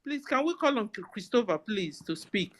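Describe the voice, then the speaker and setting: A woman's voice: mid-range voice, very animated, normal speed. A woman speaking passionately in an online audio discussion. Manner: impassioned, accusing.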